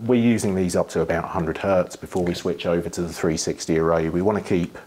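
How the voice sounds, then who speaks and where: A middle-aged man with a husky voice, giving a technical explanation in an interview, pausing thoughtfully as he phrases things. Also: sits low, steady tone, darkish tone. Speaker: loudspeaker engineer being interviewed. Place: living room with wood panelling and large window.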